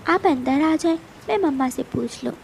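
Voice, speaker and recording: A woman's voice: high-pitched, moderate pace, creaky, a little hiss or hum. A woman voicing a young girl bird, a loyal and watchful friend in a children's cartoon, polite and concerned. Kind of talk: children's cartoon story acting.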